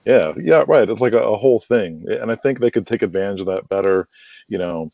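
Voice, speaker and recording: A man speaking as a guest in a casual conversational interview, chatty and quick to laugh, on muffled lo-fi audio. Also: fairly low pitch, expressive, gravelly, mellow, no room sound. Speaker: podcast guest, wrestling promoter.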